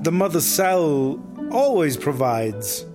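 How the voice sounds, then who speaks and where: Casting: dignified marsupial cult elder for comedy audio drama; measured, formal delivery, higher-set voice. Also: male voice, very animated. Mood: solemn, proud. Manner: formal, ceremonious, pompous. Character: solemn male possum elder of an underground sect. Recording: music behind the voice.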